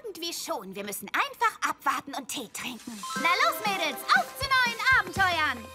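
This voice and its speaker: Casting: confident young adventurer girl, very high, hugely animated, bubbly cartoon delivery over loud music. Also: moderate pace. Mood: upbeat. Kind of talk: German cartoon dub. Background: loud background music.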